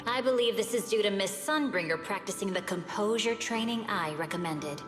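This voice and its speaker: Woman playing a calm encouraging game lady, gently teasing, lots of echo, music going behind. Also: mid-range voice, fairly quick, lightly acted. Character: composed, encouraging woman, peacemaker among colleagues. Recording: echoing hall, loud music behind.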